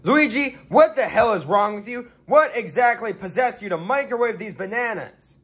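A man voicing a blunt, irritable adult plumber who talks in a gruff, crude and exasperated way in a comedy skit. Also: sits high, expressive, moderate pace, fairly bright tone.

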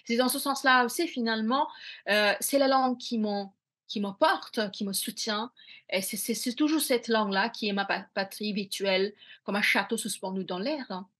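A woman's voice: on the high side, normal speed, fairly bright tone, breathy edge. A middle-aged woman from India whose first language is Bengali, answering interview questions on a video call from a small room at home, reflective, with many fillers and restarts. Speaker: author.

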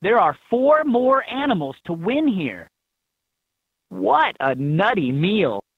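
A woman with a husky voice reading scripted prompts as an encouraging guide in a children's game, on dull toy audio. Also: medium pitch, very animated, takes it slowly, no echo, heavily acted.

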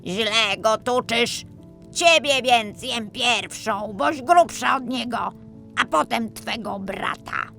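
A woman voicing a cruel, bossy old witch who gloats over her prey in a slow, drawn-out, menacing way for a children's fairy tale. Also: a little high, creaky, pushed and bright, soft background music, voice pushed up, full character voice. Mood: angry, threatening.

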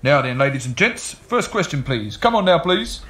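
A man's voice: fairly high pitch, big pitch swings, moderate pace, fairly bright tone. A man playing a ceremonious music-hall master of ceremonies in a homemade radio play, with a showman's delivery. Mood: grand announcing.

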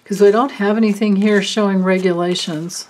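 A woman doing a card reading, thinking aloud with many pauses and fillers. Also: mid-range voice, fairly even intonation, a little mellow, rough recording. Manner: slow, musing, thinking aloud. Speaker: card reader. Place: indoors at a table, hands and cards filmed from above.

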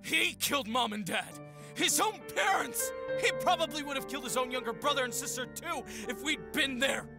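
A man's voice: very high-pitched, lively intonation, moderate pace, vocal fry, over loud music, full character voice. An actor playing a grieving, vengeful young man in an audio drama, speaking with emotional intensity. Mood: anguished, vengeful.

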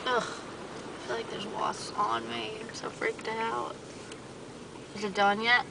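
A woman's voice: on the high side, little pitch movement, unhurried, vocal fry. A young woman driving through a car wash, jittery and complaining, cursing as she talks. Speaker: driver. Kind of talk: casual chat. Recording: distant voice over loud car wash noise. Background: loud car wash machinery.

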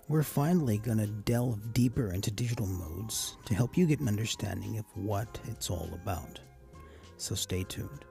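A man with a gravelly, husky voice giving a casual, explanatory tutorial voice-over as the host of a hobby channel. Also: medium pitch, dark tone. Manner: casual, addresses viewers as guys.

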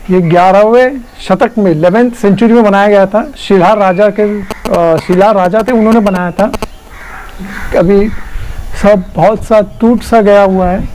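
A man's voice: high voice, a little slow, mellow, low-quality recording. A man, vlogging and explaining in a chatty way on a lapel mic, mixing English words in. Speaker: travel vlogger. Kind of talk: casual vlog narration.

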